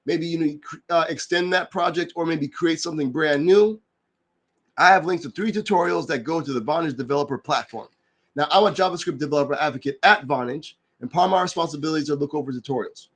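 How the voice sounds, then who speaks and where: A man who is getting over a cold and sounds a little nasal, hosting a live online work session and explaining its features in a relaxed, rambling way full of fillers. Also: on the high side, fast talker. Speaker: livestream host and developer advocate. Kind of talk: casual explanatory livestream talk.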